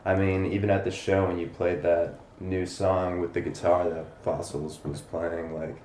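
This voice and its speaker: A man's voice: deep voice, flat delivery, moderate pace, a little mellow. A man interviewing casually, with a laid-back, slangy way of talking. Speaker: interviewer for a music channel. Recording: roomy echo, faint background noise.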